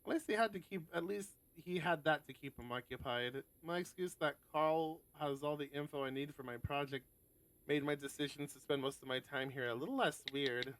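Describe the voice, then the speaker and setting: A man's voice: a little high, brisk, fairly bright tone, a little hiss or hum, poor audio. A man reading aloud and chatting casually on a game stream, sometimes swearing in asides. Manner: casual, sweary asides between narrated passages. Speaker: host of a visual novel stream.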